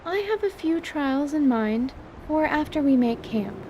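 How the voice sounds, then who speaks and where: A woman playing a composed, scholarly magic teacher, patient and reserved, with formal, measured wording in a fantasy audio drama. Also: sits high, lively intonation, mellow, slight background noise, voice pushed up, rough recording. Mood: composed, gentle.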